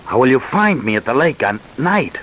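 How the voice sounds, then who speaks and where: Weak-willed male lover, old radio melodrama; cautious, pleading, very animated intonation, faint hiss. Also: mid-range voice, normal speed, low-quality recording. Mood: doubtful. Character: hesitant, weak-willed lover reluctantly drawn into murder. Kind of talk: vintage radio crime drama acting. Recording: hissy vintage radio recording.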